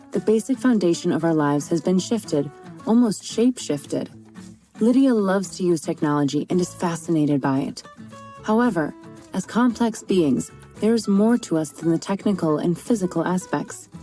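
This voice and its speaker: Female podcast host, low mellow voice, even scripted read, faint music underneath. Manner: even, read-aloud delivery. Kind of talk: scripted introduction.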